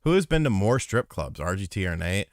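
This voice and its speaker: A man's voice: on the low side, sing-song, fairly quick, no echo, studio quality. A man co-hosting a podcast aftershow, joking and swearing in rambling casual chat. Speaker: podcast co-host.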